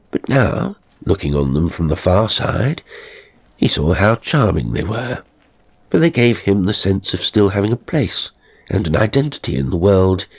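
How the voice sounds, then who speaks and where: A man narrating a ghost story from a script in a measured, theatrical, genteel manner. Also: deep voice, breathy edge.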